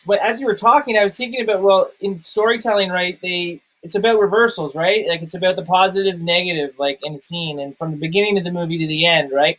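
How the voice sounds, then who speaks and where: A man's voice: high voice, a bit bright, poor audio. A man talking casually as a film review co-host, explaining his points and often checking in with listeners. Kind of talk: casual film analysis chat.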